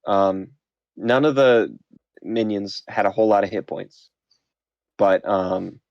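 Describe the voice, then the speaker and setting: A man who runs the table, talking in a halting, self-deprecating way and restarting his sentences. His voice sits fairly low, and the audio is muffled and lo-fi with a dry, close sound. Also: creaky, no echo. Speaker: game master. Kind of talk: casual post-game chat.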